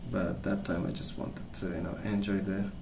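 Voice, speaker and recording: A man speaking softly as an ASMR host, rambling through stories in a hesitant, pausing, unhurried way. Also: low voice, flat delivery, noisy background. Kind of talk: soft-spoken ASMR rambling.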